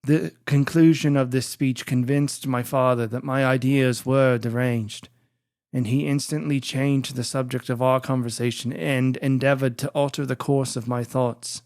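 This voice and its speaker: Measured narrator with a slow, dry-recorded delivery. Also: medium pitch, fairly even intonation, a little mellow, no echo. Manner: formal, measured, literary delivery. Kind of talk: scripted dramatic audiobook narration.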